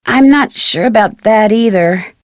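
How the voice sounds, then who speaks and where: A woman's voice: medium pitch, measured pace, dry recording, clean studio sound, close to an everyday voice. An actress playing a polite, curious young female amateur detective in a video game. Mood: inquiring. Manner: inquisitive, polite. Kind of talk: scripted video game dialogue.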